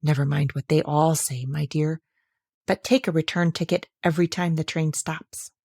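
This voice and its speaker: Woman as a condescending gentleman train passenger. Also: sits low, steady tone, moderate pace, creaky, husky, no echo, clean studio sound, pitched below the performer's own voice, heavily acted. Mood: confiding. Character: disapproving gentleman passenger dressed in paper.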